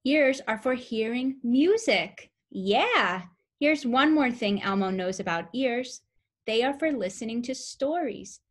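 A young woman reading a picture book aloud to toddlers as a preschool teacher, with simple, expressive phrasing and questions for the listeners. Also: on the high side, slow pace. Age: young adult. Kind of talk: children's story read-aloud.

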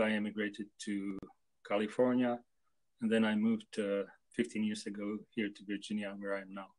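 An older man from Czechoslovakia answering interview questions on a video call, hesitant and full of pauses. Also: fairly low pitch, poor audio. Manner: hesitant, with many pauses and fillers. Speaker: guest translator. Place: home room with photos on wall.

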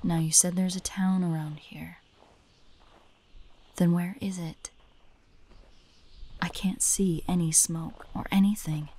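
A woman playing a prickly, guarded young hunter who secretly cares, in a soft, breathy romantic audio roleplay with a defensive, hesitant manner. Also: on the low side, steady tone, takes it slowly, long pauses. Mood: defensive, flustered. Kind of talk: romantic audio roleplay acting, addressed to the listener.

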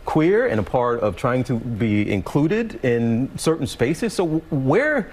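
Lively, joking man hosting a studio interview. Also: medium pitch, slow pace. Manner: animated, joking, stumbling restarts. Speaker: talk show host. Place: large TV studio.

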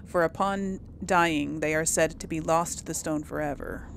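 A woman's voice: deep voice, steady tone, normal speed, creaky, a little hiss or hum. A woman hosting a casual let's-play, reading lore entries aloud and thinking aloud with many ums.

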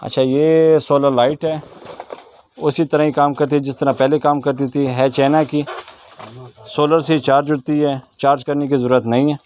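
A man's voice: on the high side, little pitch movement, moderate pace, a little mellow, slight background noise. A man with a Pakistani accent casually presenting products in a shop as a vlogger, asking questions, repeating answers and explaining features approvingly. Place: small shop packed with goods, indoors.

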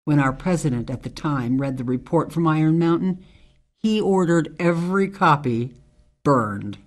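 Radio drama: tough female ex-military ranger, played by a woman, measured, earnest monologues, low-voiced for a woman. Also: lively intonation. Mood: solemn, earnest. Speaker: actor in an amateur radio drama.

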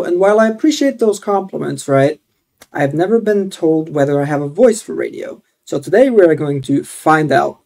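A man's voice: on the high side, a little mellow. A young man on a headset mic, giving a playful, upbeat mock radio DJ announcement in his own voice. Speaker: streamer. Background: faint game music.

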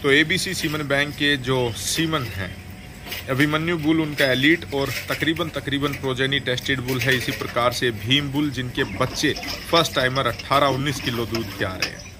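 A man narrating a voice-over over dairy milking footage, formal and measured, often pausing to rephrase. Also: medium pitch, background noise. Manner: formal, measured, with frequent hesitations. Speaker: dairy farming video host.

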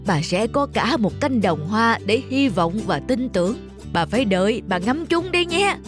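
A kind old husband from a cartoon, voiced by a woman, tenderly reassuring his sick wife. The voice is warm-mannered and soft-spoken, with light music behind it. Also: on the high side, very animated, moderate pace. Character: gentle loving old husband.

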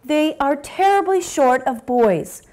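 Storybook mother dog voiced by a woman: gentle, indulgent, concerned, expressive intonation. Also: high-pitched, slow.

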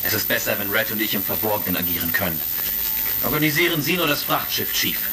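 Gravelly, resolute space commander. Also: male voice, lowish voice, expressive, fast talker, background noise. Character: resolute male space commander, shrewd hero. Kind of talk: retro sci-fi audio drama acting.

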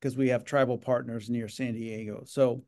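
A man's voice: medium pitch, level tone, normal speed, a little mellow. Middle-aged man rambling on a video call. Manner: rambling, repeats words, many fillers. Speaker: gaming association leader. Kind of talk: casual video-call interview. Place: home office. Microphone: video call webcam.

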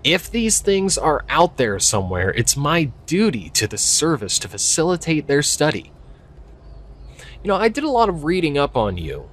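A man's voice: a little high, wildly swooping pitch, moderate pace, a bit bright, full character voice. A man acting a young, skeptical park ranger in an audio drama, speaking casually and hesitantly with a wry edge. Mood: earnest. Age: young adult. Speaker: voice actor. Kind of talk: naturalistic audio drama dialogue.

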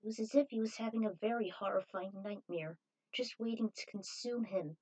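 A woman's voice: medium pitch, a little fast, dark tone, rough recording. A woman reading fan fiction aloud as an amateur narrator. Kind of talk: amateur story narration with acted dialogue.

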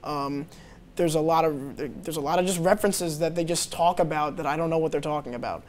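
A man's voice: on the high side, fairly quick, a little hiss or hum. A young man co-hosting a movie review show, chatting casually with many fillers and restarts, recorded on a lapel mic in a small TV studio. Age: young adult. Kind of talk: casual chat.

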